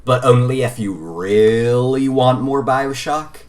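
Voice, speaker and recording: Male podcast co-host, casual and halting. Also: medium pitch. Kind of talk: casual chat about games and films.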